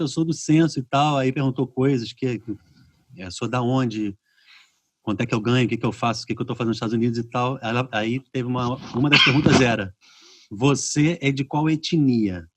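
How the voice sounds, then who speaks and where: Animated Brazilian man hosting a video-call chat. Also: medium pitch, no echo. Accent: Brazilian Portuguese. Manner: animated storyteller, acts out reactions. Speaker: podcast host.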